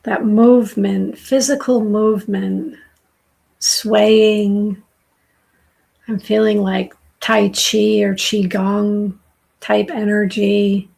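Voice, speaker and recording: Slow, raspy New woman. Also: medium pitch, level tone, poor audio. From southern New Hampshire. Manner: slow, soft, soothing intuitive reading. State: dry throat. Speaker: tarot reader host. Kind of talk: live-stream tarot reading.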